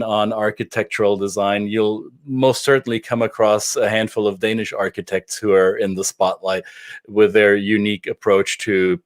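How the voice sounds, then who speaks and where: A man's voice: lowish voice, no room sound. A European man hosting an interview, asking curious leading questions. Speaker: podcast host. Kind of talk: interview conversation.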